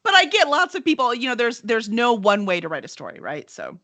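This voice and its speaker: A woman's voice: fairly high pitch, wildly swooping pitch, fairly quick, fairly bright tone, no room sound. A woman hosting an interview podcast in an upbeat, casual and playful way, with some mock-serious joking. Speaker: podcast host and editorial director. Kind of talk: upbeat scripted intro and casual interview chat.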